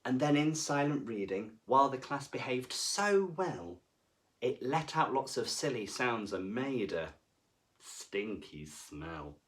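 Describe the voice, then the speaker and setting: A man reading to children as a storyteller, with expressive, deliberate and dramatic phrasing. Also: mid-range voice. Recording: voice far from the mic.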